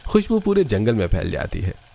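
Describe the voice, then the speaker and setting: एक आदमी जो एक कहानी को स्थिर, सधी हुई आवाज़ में सुनाता है।. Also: male voice, on the low side, wildly swooping pitch, moderate pace, gravelly, mellow, a little hiss or hum. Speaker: narrator. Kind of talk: scripted story narration.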